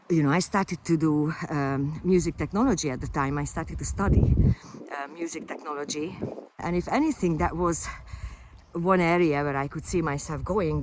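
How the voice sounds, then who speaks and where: Hungarian-born fitness coach, low voice, relaxed unscripted vlog delivery with hesitations. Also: female voice, slight background noise. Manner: unscripted, hesitant with frequent fillers and restarts. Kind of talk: casual personal vlog to camera.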